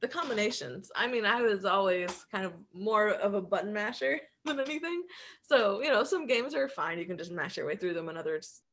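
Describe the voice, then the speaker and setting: A woman's voice: mid-range voice, expressive, fairly quick, fairly bright tone, slight background noise. A middle-aged woman chatting casually as a podcast co-host, with a laid-back, slangy way of talking. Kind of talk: casual chat.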